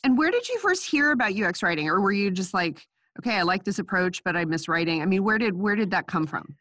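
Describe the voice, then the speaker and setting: A woman's voice: mid-range voice, animated, quick pace, a bit bright, no room sound, studio quality. A woman hosting a podcast interview, a little nasal from a cold, chatty and restarting her sentences. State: has a cold, a bit nasal. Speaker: podcast host. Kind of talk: casual interview.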